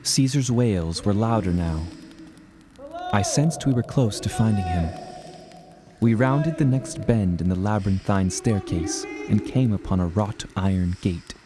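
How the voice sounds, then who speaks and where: A man narrating a scripted first-person adventure story in a measured, dramatic way. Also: lowish voice.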